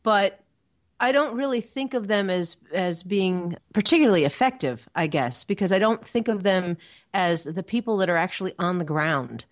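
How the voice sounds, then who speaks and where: A woman on a discussion panel talking casually and thoughtfully, often pausing to find her words. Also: lowish voice, expressive.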